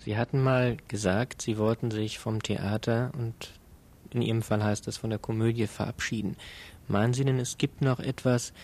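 A man asking formal, polite interview questions in a measured, courteous manner. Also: lowish voice, fairly even intonation, a little mellow.

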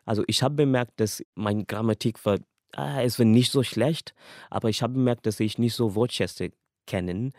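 A man's voice: mid-range voice, normal speed, a little mellow, dry recording, studio quality. A young man from Kenya, a language student whose first languages are English and Swahili, giving a casual, earnest personal account and laughing lightly between thoughts.

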